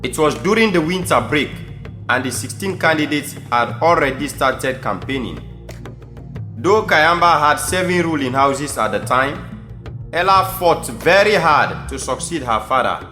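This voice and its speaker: A man's voice: a little high, animated, unhurried, pushed and bright. A man with a Sierra Leonean accent narrating a scripted audio drama as a storyteller. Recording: roomy echo under prominent music.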